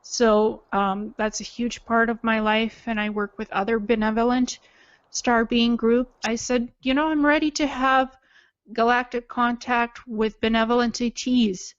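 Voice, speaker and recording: A woman's voice: on the high side, fairly even intonation, measured pace, smooth, dry recording, lo-fi sound. A woman from Ukraine giving unscripted personal testimony in an earnest, matter-of-fact manner. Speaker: self-described alien contactee telling own story.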